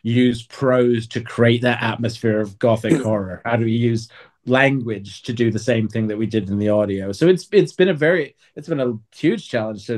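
A man's voice: medium pitch, a little mellow. A man answering as an author in a conversational interview, explaining in a reflective, articulate way.

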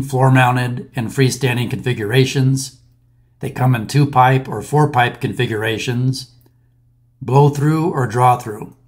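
A man in his thirties talks through a technical topic in a close-miked voice-over. His voice sits at an ordinary middle pitch and his delivery is plain and methodical, with occasional fillers as he moves from point to point. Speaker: maintenance instructor. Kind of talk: explainer voice-over over slides.

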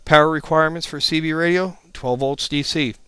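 A man's voice: fairly high pitch, steady tone, brisk, sharp tone, smooth, no echo, studio quality. A man narrating an informal slide presentation as an instructor, explaining things in a plain-spoken, matter-of-fact way.

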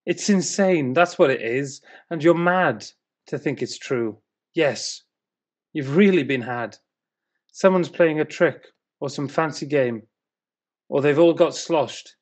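A man's voice: a little high, slow. A man plays a sceptical husband of ancient Bethlehem who badly wants his sleep. He delivers spoken-word verse in a British accent, grumbling, sarcastic and exasperated. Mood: incredulous, scornful.

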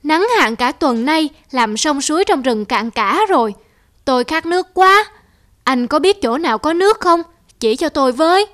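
A woman voicing a talking frog in a children's cartoon, with exaggerated, expressive delivery. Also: high-pitched, moderate pace. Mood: weary, thirsty. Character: two talking frogs, one whiny and rash, one cautious.

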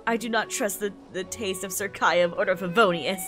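A woman's voice: medium pitch, brisk, music in the background, natural voice. A woman reading out a brooding, curt young nobleman from a manga, with a dismissive manner.

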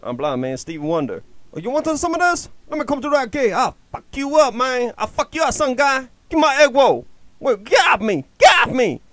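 A comedy dubber with a very animated, swooping delivery. The talk is slangy, exaggerated trash talk, improvised over clips. The recording is close and dry. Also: high voice, moderate pace, dry recording. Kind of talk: improvised comic voice-over dubbing of video clips.

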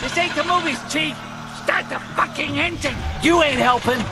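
A man's voice: very high voice, normal speed, over music. A man playing a panicked, foul-mouthed soldier under fire in a war video game cutscene. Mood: gruff urgency. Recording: loud engine and vehicle noise behind.

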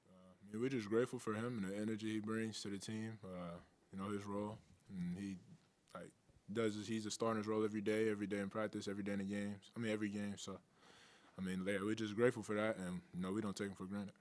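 A young college basketball player answering postgame questions in a relaxed, casual way, rattling along quickly. His voice sits slightly low and is picked up by a table mic in a press room. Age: young adult. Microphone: table mic on stand.

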